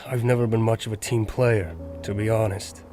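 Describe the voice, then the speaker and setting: A man's voice: on the low side, flat delivery, normal speed, warm tone, faint music behind, close to an everyday voice. A man playing a resentful young survivor in an amateur audio drama, speaking in a curt, grudging, sarcastic way. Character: sullen, resentful young male zombie-apocalypse survivor, loner.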